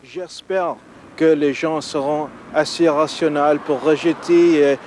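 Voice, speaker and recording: Middle-aged man, higher pitch, quick and articulate, faint street noise. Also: little pitch movement, darkish tone. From India. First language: Oriya. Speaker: newspaper editorialist. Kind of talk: interview.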